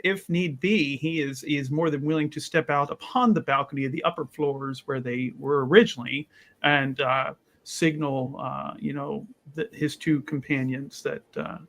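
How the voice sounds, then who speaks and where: A man's voice: fairly high pitch, flat delivery, normal speed, gravelly, fairly bright tone. A man playing a tabletop role-playing game, commenting casually with wordy, theatrical phrasing.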